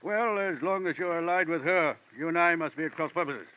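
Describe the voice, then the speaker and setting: An actor playing a pompous, scheming gentleman villain with florid, formal speech in an old-time radio detective drama. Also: fairly high pitch, brisk, close to an everyday voice. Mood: pompous, smug. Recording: dull lo-fi old radio recording.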